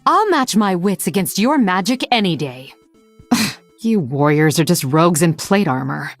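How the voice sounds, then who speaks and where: A proud female blood elf rogue from a fantasy video game. Her voice sits fairly low, with a dry, haughty, cutting delivery and sardonic teasing, set over background music. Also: big pitch swings, moderate pace, no room sound. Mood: scornful, menacing. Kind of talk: video game hero voice lines.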